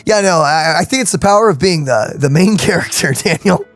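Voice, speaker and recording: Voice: medium pitch, animated, a little fast, faint music behind. A let's-play host giving casual, jokey commentary, laughing often and breaking into exclamations.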